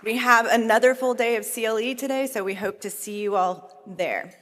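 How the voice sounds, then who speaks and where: A woman's voice: fairly high pitch, normal speed, fairly bright tone, some chatter behind. A woman who co-chairs the meeting opens it as emcee with an upbeat, gracious welcome speech. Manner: upbeat and gracious, with repeated thank-yous. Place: large banquet hall. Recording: long reverb of a large hall.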